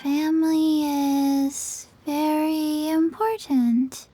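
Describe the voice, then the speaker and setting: A little undead girl from a game, high-voiced and childlike. She talks extremely slowly, with halting deadpan earnestness, over soft music. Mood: flat, earnest. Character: Qiqi, slow, flat little zombie girl. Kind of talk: video game voice acting.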